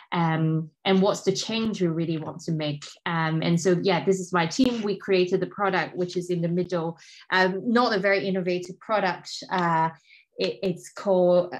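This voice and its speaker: A woman in her thirties from Hong Kong, giving a casual talk with slides over a video call, with frequent ums and restarts. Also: fairly low pitch. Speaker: guest speaker.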